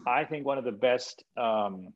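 A man's voice: mid-range voice, a little slow, lo-fi sound. A man from Spain, a podcast guest, talking casually about music with many restarts and hesitations. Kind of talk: casual interview conversation.

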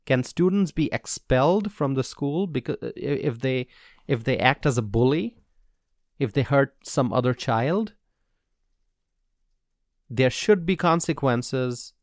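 A man from Bangladesh speaks slowly and deliberately on his own podcast, his voice carrying a gravelly edge. The recording is dry and close, with no room sound. He makes his points earnestly and circles back to them for emphasis. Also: mid-range voice, expressive, dry recording. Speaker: podcast host. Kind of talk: solo commentary on public policy.

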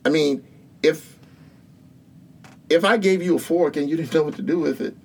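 A man's voice: a little high, normal speed, darkish tone, husky. A man in his sixties speaking as a guest in an interview about faith, earnest and preacherly. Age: 60s. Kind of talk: spiritual interview talk.

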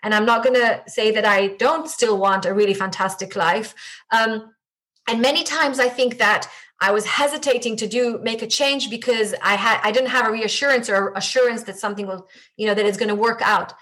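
A woman's voice: on the high side, a bit bright. A woman from Israel with an Israeli accent, Hebrew her first language, speaking as a podcast guest and coach in a reflective way with frequent restarts. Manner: reflective, halting with many restarts. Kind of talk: podcast interview conversation.

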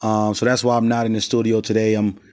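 A man hosting his own sports podcast in a loose, rambling way, voice raspy while he recovers from a stomach virus, recorded on a cell phone outside his studio. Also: lowish voice, completely flat delivery, quick pace, darkish tone. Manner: loose, rambling, many fillers and restarts. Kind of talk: casual sports talk. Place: not in the studio.

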